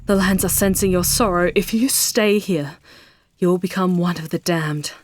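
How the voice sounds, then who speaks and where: A woman voicing a charming, protective female demon in an ASMR roleplay, speaking theatrically and intensely in hushed, drawn-out phrases that often slip toward a whisper. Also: lowish voice, dry recording, natural voice. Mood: alarmed, pleading.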